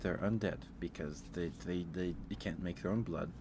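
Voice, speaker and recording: A man's voice: low-pitched, steady tone, normal speed, background noise. A man hosting a casual ASMR livestream, talking in a rambling, hesitant way and often repeating his phrases. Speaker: livestream host.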